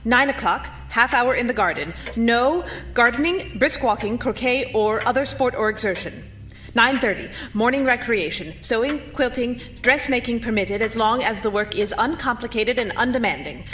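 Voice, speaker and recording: A woman's voice: fairly high pitch, normal speed, a bit bright, faint music behind, lightly acted. A woman playing an eager, dutiful sister-in-law in live period radio theater, obliging and theatrical in manner. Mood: dutiful reciting.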